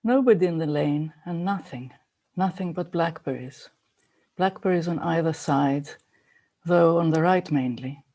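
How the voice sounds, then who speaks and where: A woman's voice: deep voice, a little slow, warm tone. A woman giving a poetry recitation as a reader at a poetry event.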